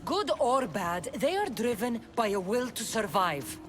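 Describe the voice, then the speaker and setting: An actress playing a blunt, wry, pragmatic warrior heroine of ancient Greece in a video game drama. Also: female voice, fairly high pitch, sing-song, measured pace. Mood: resolute. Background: music.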